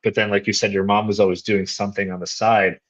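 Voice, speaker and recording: A man's voice: fairly low pitch, level tone, quick pace, highs cut off. A male podcast host asking long, curious, rambling questions with restarts over a remote call. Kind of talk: interview questions, casual.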